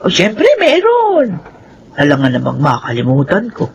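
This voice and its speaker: Older motherly prison worker, fond and scolding, lively intonation, for Filipino radio drama. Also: lowish voice, moderate pace, a little hiss or hum. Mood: teasing, fond. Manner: fussy, animated scolding.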